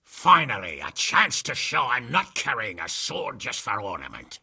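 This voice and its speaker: A man acting a gruff, brash nobleman in a video game, spoiling for a fight, with a rough gravelly voice. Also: medium pitch, wildly swooping pitch, normal speed, sharp tone, heavily acted. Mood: eager, combative.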